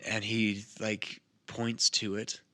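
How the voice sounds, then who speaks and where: A man's voice: fairly low pitch, level tone, slow, pushed and bright. A man narrating a tabletop game as game master, in casual, loosely improvised phrasing.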